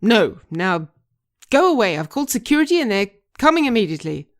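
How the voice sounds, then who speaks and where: Woman acting a brash, indignant, animated woman. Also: mid-range voice, fairly quick, voice pushed up, lightly acted. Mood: angry. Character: brash, indignant woman cleaner, curt and combative. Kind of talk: scripted screenplay reading.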